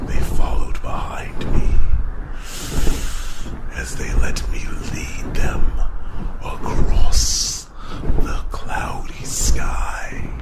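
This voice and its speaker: A man voicing a huge, proud, protective dragon with a growling, breathy voice, telling his tale solemnly with dramatic pauses in an ASMR fantasy roleplay. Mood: proud, awed. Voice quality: very deep, gravelly, breathy growl. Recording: loud rushing ambient noise over voice.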